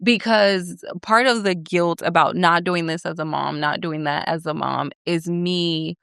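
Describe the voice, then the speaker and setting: A woman's voice: lowish voice, steady tone, unhurried, creaky, a bit bright, clean tone, dry recording, clean studio sound. A young woman co-hosting a faith podcast, explaining her views earnestly and asking her co-host questions. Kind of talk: faith conversation.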